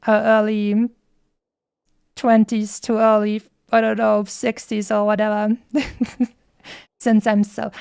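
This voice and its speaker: A woman with a mellow, mid-pitched voice and plenty of vocal fry chats casually as she streams a game. She muses and rambles playfully into a close, dry microphone. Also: no room sound. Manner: musing, playful, rambling asides. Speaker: streamer playing a video game. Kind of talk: casual livestream chat and commentary.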